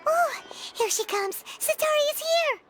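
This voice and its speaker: A woman voicing a small, excitable and nervous childlike fairy companion with a squeaky voice in a game cutscene. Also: measured pace. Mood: excited. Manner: refers to herself by name. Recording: background music.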